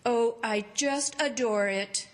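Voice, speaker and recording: An actress playing a vain, plump society matron with pompous, genteel phrasing in old-time radio comedy. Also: female voice, on the high side, takes it slowly. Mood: gushing pride.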